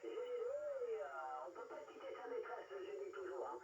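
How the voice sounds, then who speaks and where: A man's voice: extremely high pitch, huge pitch swings, moderate pace, vocal fry. Older man on noisy, muffled radio. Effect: two-way radio transmission. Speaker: amateur radio operator. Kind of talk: casual radio contact chat. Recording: noisy muffled radio transmission, roomy and distant.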